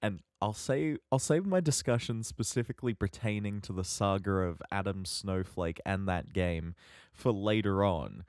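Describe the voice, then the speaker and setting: A man co-hosting a podcast, chatting casually in a halting, wordy, measured way. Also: on the low side, a little mellow, no echo, studio quality. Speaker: podcast co-host.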